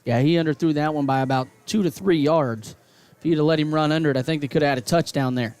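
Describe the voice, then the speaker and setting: A man calling high school football play-by-play on radio, building to excited calls on big plays. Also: quick pace, no echo. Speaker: play-by-play announcer.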